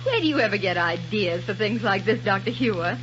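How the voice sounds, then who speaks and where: A woman's voice: mid-range voice, animated, fairly quick, music in the background, lightly acted. An actress playing a curious, concerned young woman in a 1930s radio serial, earnest and full of questions. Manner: inquisitive, earnest, exclaiming. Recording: muffled, hissy vintage radio recording.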